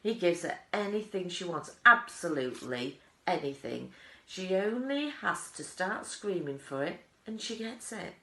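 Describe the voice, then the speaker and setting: A woman as nosy storybook bystander, scandalised and exclamatory, wildly varied pitch, gravelly edge, roomy echo. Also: lively intonation, measured pace, sharp tone, exaggerated voice. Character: excited gossiping onlookers shouting in a waiting crowd.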